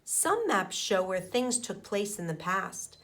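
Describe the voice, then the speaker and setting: Slow-paced woman teacher reading to children. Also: mid-range voice, big pitch swings, fairly bright tone, a little hiss or hum. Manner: simple, deliberate phrasing for young children, with questions to listeners. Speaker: elementary school teacher. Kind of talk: children's picture book read-aloud with explanations.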